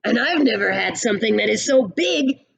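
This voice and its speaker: A woman's voice: a little high, expressive, normal speed, vocal fry, breathy edge, exaggerated voice. A woman voicing delighted, admiring cowboy barbers in a storybook, with earnest, emphatic exclamations. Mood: amazed, admiring.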